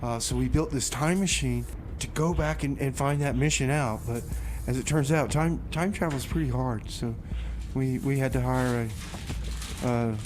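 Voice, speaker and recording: A man hosting a community radio show, chatting casually and haltingly in a rambling, informal way with comedic banter. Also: medium pitch, background noise. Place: radio studio.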